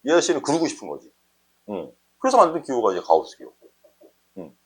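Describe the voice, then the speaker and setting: A man's voice: mid-range voice, huge pitch swings, fast talker, slightly rough. A man teaching math informally, thinking aloud in a conversational way and often checking understanding with short questions.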